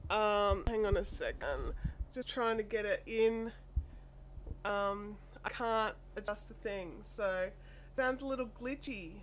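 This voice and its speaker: An Australian woman hosting a casual craft live stream, chatty and apologetic, her voice made deeper and nasal by a chest cold and sore throat. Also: fairly bright tone. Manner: chatty, apologetic, reads viewer comments aloud. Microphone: desk microphone. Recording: faint air conditioner hum.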